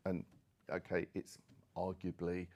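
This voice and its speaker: A middle-aged man talks as an expert on a discussion panel. His voice sits fairly low, and he speaks conversationally, wandering into asides and qualifications as he goes. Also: monotone, unhurried, darkish tone.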